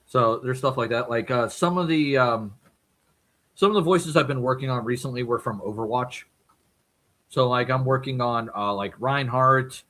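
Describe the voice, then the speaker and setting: A man's voice: mid-range voice, moderate pace, a bit bright. A man who works as a voice actor, chatting casually as a podcast guest and laughing often. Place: home streaming setup.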